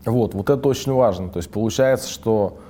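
A man's voice: lowish voice, a little fast, mellow. A man interviewing a guest, asking questions hesitantly and searching for words.

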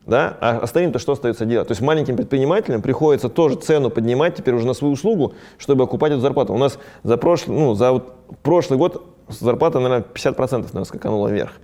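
A man who runs a ski resort business, speaking casually in an interview, talking fast with many fillers. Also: medium pitch, mellow.

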